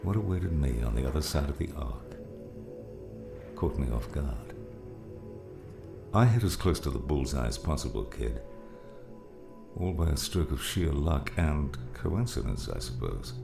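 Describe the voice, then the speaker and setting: Male narrator as weathered older mentor for an adventure audiobook: rock-bottom pitch, gravel, wry musing delivery. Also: expressive, measured pace, pauses often, over music, full character voice. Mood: somber, reminiscing. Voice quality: gravelly, very deep.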